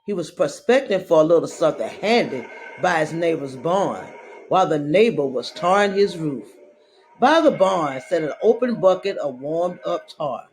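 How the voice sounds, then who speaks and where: A woman reading a children's story aloud in a dramatic, deliberate way, drawing out her phrases. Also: low-pitched, slight background noise, poor audio. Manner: deliberate, expressive, drawn-out phrasing.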